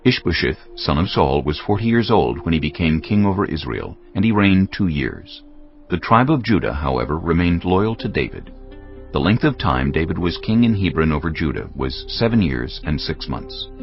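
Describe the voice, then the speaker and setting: A man's voice: deep voice, sing-song, normal speed. A man narrating a dramatized Bible as a scripted storytelling reading. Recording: dull lo-fi audio with music behind. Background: faint music.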